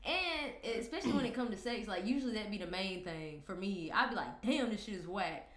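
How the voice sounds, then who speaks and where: A woman co-hosting a casual couple's chat, loud and playful, full of slang. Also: medium pitch, lively intonation, fairly quick, echoey room, very noisy. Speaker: podcast co-host.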